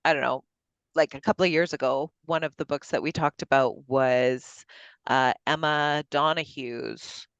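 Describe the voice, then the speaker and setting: A woman's voice: low-pitched, steady tone, measured pace, clear, resonant tone, no room sound. A woman hosting a virtual book club over a video call, chatty and full of fillers and restarts. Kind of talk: casual group discussion. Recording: dull lo-fi call audio.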